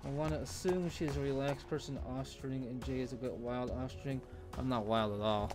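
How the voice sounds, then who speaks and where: A laid-back man doing live game commentary at a middling pitch. He rambles casually as he plays, with clear music running behind his voice. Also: fairly even intonation, normal speed. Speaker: video game streamer.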